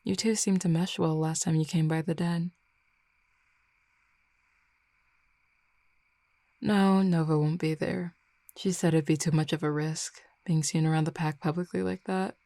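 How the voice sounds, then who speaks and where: A woman playing a flirty, easygoing female werewolf in a roleplay audio drama, relaxed, confident and teasing in an intimate, unhurried conversation. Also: low voice, no echo. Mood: reassuring, inviting.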